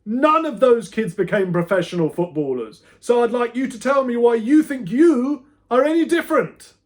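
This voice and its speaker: A man voicing an enraged, balding male schoolteacher from a children's story, shouting scornful questions at a pupil. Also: very high-pitched, animated, normal speed, voice pushed up. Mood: barking anger. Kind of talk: children's audiobook character voice.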